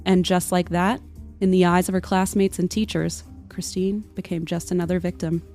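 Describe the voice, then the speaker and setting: A woman's voice: lowish voice, flat delivery, moderate pace, a little mellow. A woman narrating a scripted comedic tale in a mock-dramatic, literary manner. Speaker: podcast storyteller. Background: music under the voice.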